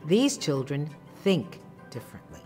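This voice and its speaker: A woman educator explaining research in an interview, measured and authoritative. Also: low-pitched, sing-song, darkish tone, in a large hall, soft background music.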